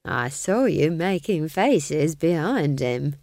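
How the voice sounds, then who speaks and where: A cheeky, earthy old witch from a fantasy audiobook, as a female reader plays her. She is blunt and unflappable, with colloquial phrasing and lively intonation over an unhurried pace. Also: low voice, no echo, clean studio sound, exaggerated voice. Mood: blunt, curious.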